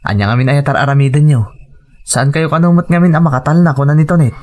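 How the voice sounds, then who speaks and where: A man's voice: on the high side, quick pace, darkish tone, lightly acted. A man playing an earnest, burdened working-class husband with an Ilocano Filipino accent in a sentimental audio drama. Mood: concerned.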